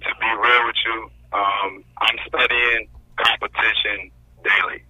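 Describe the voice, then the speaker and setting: A man's voice: on the low side, fairly even intonation, a little slow, pushed and bright, dry recording, low-quality recording. A man, a rapper guest, answering interview questions earnestly and often asking "you know what I'm saying".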